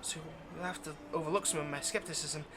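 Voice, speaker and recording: A performer plays a confident vampire in an audio roleplay who toys with prey. The delivery is teasing and condescending, with a possessive, predatory edge. Music plays clearly behind the voice. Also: mid-range voice, fairly even intonation, quick pace, lightly acted. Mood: sly skepticism. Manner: teasing, condescending, drops to whispers. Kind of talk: ASMR roleplay monologue.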